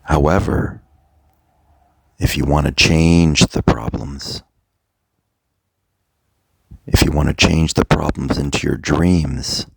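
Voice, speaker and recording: A man speaking as a meditation coach in a guided, motivational style, deliberately, in short phrases with long pauses, his voice gravelly and husky. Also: a bass voice, fairly even intonation, no echo.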